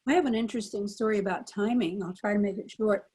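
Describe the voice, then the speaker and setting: A woman's voice: mid-range voice, quick pace, breathy edge. An older woman, a former child radio actor, telling stories as a panel guest on a video call. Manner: animated storyteller, acts out quoted lines. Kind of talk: anecdotal storytelling.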